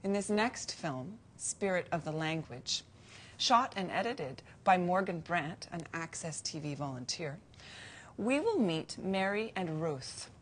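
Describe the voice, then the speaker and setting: A woman presenter reads a scripted segment introduction in an even, lowish voice. A faint low rumble can be heard under the recording. She sounds like she is introducing the next piece on community television. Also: measured pace. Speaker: program presenter.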